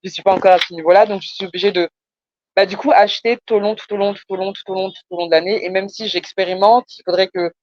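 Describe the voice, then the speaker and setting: A woman's voice: medium pitch, steady tone, fast, a little mellow, lo-fi sound, slight background noise. A woman from France with a Parisian accent, explaining her business conversationally, often hesitating and repeating words for emphasis. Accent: Parisian French. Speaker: entrepreneur being coached.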